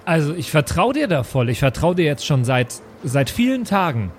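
A man playing a naive, trusting, well-meaning fellow in an improvised tabletop game, speaking haltingly and earnestly. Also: on the high side, very animated, slight background noise.

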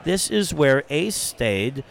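Rambling man chatting, slightly high voice. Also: very animated, slow pace, gravelly. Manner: rambling, hesitant with fillers and restarts. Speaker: podcast co-host. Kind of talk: casual chat. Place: hotel bar.

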